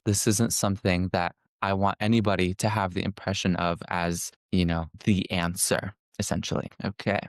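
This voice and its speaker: Low-voiced young man giving a casual webinar. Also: clear, resonant tone, no echo, studio quality. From California. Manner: relaxed, reflective, with frequent restarts and fillers. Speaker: social work student presenter.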